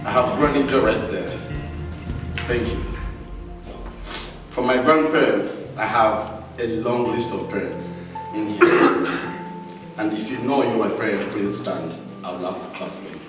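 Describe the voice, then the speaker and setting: A man's voice: on the low side, unhurried, pauses often. A young man from Uganda giving a heartfelt speech of thanks to an audience in a large hall. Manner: warm and grateful, addressing the crowd directly. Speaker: speaker thanking supporters at an event. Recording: echoey hall, distant mic, loud music.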